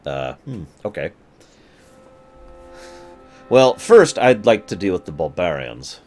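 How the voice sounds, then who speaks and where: A man's voice: sits low, very animated, takes it slowly, a little mellow, faint music behind. A man casually talking through a strategy game for his stream viewers, chatty and given to sudden mock outbursts. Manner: chatty, talks to chat, swings into mock outbursts. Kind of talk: casual live gameplay commentary.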